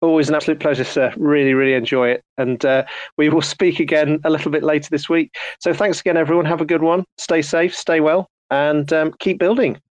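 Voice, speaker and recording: A man's voice: fairly high pitch, fairly even intonation, a little fast, dry recording. A man hosting an online audio chat, soothing and polite, with many fillers and restarts. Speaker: host. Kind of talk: casual chat.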